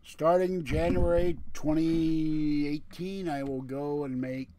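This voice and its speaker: A man's voice: on the high side, steady tone, slow, warm tone. A man with a lisp chatting casually and rambling to viewers on a livestream, with a digressive, grumbling manner. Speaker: livestream host.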